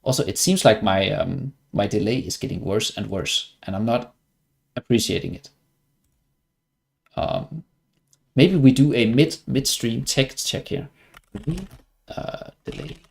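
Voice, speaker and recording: A man's voice: lowish voice, expressive, measured pace, gravelly, long pauses, breathy edge. A man from Denmark with a Danish accent, chatting casually and wryly as he comments on his livestreamed gameplay. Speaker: game streamer.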